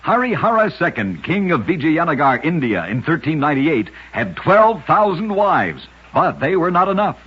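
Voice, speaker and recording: Male radio narrator, very husky, slightly high pitch, dramatic storytelling, scripted short tales, muffled vintage recording. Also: sing-song, normal speed, few pauses.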